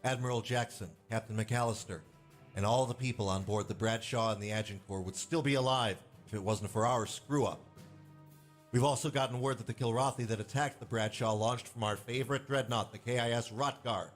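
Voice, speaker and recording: An actor playing a stern, weary squadron commander giving grave, hard-nosed speeches to pilots in a space game. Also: male voice, medium pitch, steady tone, brisk, close to an everyday voice. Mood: grim, resolute. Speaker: military commander briefing pilots. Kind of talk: video game voice acting, military briefing speech. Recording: music behind the voice.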